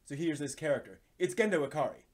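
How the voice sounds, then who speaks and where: A man's voice: a little high, lively intonation, fast. Rambling man hosting a casual anime stream. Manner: rambling, thinking aloud, digressive. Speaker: live stream host. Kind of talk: casual live stream chat about anime.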